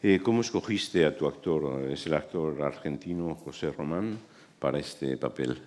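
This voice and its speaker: Deep-voiced festival interviewer. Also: male voice, darkish tone. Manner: formal, measured questioning. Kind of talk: hosting a festival press breakfast.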